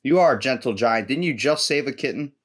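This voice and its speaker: A man hosting a podcast, chatting casually with a guest in a joking, rambling, animated way. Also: medium pitch, fairly quick. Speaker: podcast host. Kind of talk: casual interview chat.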